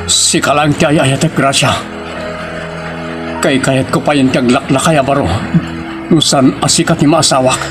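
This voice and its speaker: A man's voice: medium pitch, fairly even intonation, a little slow, long pauses, natural voice. A man acting a hurt, insistent young lover in an audio drama, intense and questioning, with a Filipino Ilocano accent. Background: prominent music.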